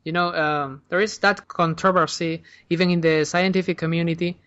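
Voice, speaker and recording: A man's voice: a little high, steady tone, moderate pace, fairly bright tone, clean tone, lo-fi sound. A man hosting a podcast, asking questions hesitantly with many fillers and closing the show. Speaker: podcast host. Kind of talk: interview questions and show outro.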